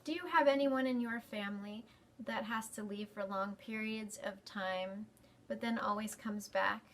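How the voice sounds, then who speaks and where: A woman's voice: mid-range voice, measured pace, fairly bright tone, slight background noise. A woman hosting a library storytime, talking expressively to children. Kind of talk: expressive picture-book reading and talk to children.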